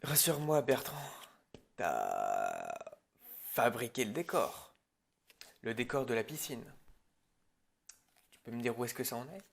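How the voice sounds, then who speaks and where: A man's voice: medium pitch, very animated, unhurried, vocal fry, long pauses, breathy edge, full character voice. A man playing an irritable, bossy film director in an improvised parody roleplay, alternating hesitant muttering with curt, sarcastic orders. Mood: angry, threatening. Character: irritable, bossy male film director running a prep meeting.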